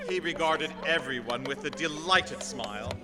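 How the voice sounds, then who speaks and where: A man's voice: a little high, normal speed, long reverb tail, music in the background. A man narrator delivering dramatic scripted storybook narration for a radio play.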